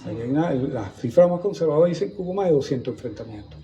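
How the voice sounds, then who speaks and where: A man's voice: fairly high pitch, expressive, quick pace, warm tone, slight background noise. Older Venezuelan man, historian guest, meandering, restart-prone delivery; faint ambience behind. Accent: Venezuelan Spanish. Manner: rambling, repeats and restarts words while recalling. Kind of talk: conversational storytelling in a museum talk. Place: museum gallery, indoors.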